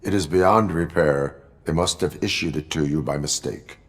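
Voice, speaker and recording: Game cyborg officer: very low voice, stoic, clipped, deadpan delivery. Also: male voice, normal speed. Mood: flat, stern. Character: stoic male cyborg police officer, formal and blunt. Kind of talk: video game voice acting.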